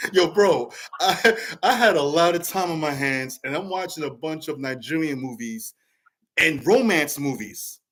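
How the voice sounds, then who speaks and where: Male host and stand-up comic, slightly high voice, animated casual chat. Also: unhurried. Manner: animated and chatty, laughs easily.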